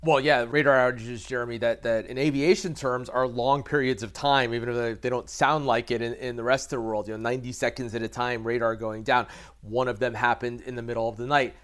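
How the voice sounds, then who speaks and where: An American man speaking as an expert guest in an interview, explaining haltingly with repeated words and careful qualifications. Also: mid-range voice, fairly even intonation, fairly bright tone, smooth. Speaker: expert guest, aviation reporter. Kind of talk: conversational interview analysis.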